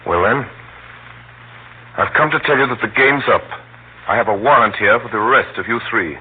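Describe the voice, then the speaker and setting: An actor playing a determined but self-doubting adventurer, narrating in a confiding, dramatic, conversational 1930s radio style. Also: male voice, medium pitch, moderate pace, slightly rough, natural voice. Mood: firm, determined. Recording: muffled, scratchy 1930s radio broadcast with hum.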